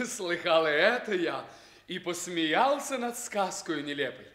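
An actor playing a proud young exiled prince in a theatrical radio fairy-tale drama, declaiming emphatically. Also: male voice, sits high, animated, a little slow, a bit bright, exaggerated voice. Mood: curious, dismissive.

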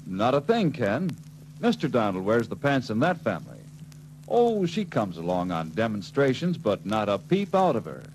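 A man's voice: mid-range voice, huge pitch swings, normal speed, a little mellow. An actor playing a puzzled, plain-spoken middle-aged car salesman in 1940s film style. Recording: faintly noisy 1940s film soundtrack.

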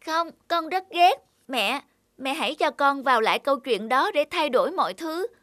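A woman's voice: high voice, lively intonation, normal speed, no room sound, clean studio sound. A woman voicing an earnest, aggrieved young talking apple in a children's cartoon, with plaintive, emphatic delivery. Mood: pleading. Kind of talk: dubbed children's cartoon dialogue. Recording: loud music behind. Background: loud music.

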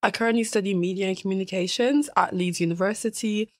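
A nineteen-year-old woman from Ireland, a student guest pitching her idea in an upbeat, agreeable way. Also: steady tone, fast talker, no echo, clean studio sound. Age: teens. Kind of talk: casual interview.

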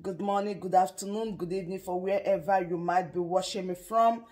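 A woman's voice: on the low side, fairly even intonation, brisk, darkish tone. A middle-aged woman with a Nigerian accent, addressing viewers as the host of an online channel. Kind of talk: greeting viewers, reaction commentary. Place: small indoor room.

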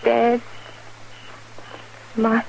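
A young widow deep in fierce, dazed grief. She speaks very haltingly, in a fairly high voice. The western radio drama recording is old and slightly noisy. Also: monotone, vocal fry, a little mellow, exaggerated voice. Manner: halting, broken phrases. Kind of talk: 1950s western radio acting.

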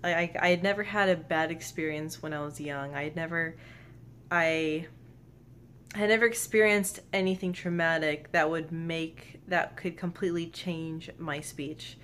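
A young woman talks straight to a nearby camera in her bedroom, low in pitch and earnest. She stutters, repeating first sounds and catching on words before they come out. A faint hiss sits under the voice. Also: steady tone, normal speed, a bit bright. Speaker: vlogger. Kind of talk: personal vlog talk to camera. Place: bedroom, indoors. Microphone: camera close in front.

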